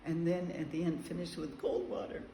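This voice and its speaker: A man with a slightly raised voice rambles through a casual travel diary, full of ums and pauses. He talks in a large high-ceilinged room that rings with echo, and faint ambience hums behind him. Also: flat delivery, warm tone, slight background noise. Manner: meandering, chatty, frequent ums and pauses. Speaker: travel vlogger.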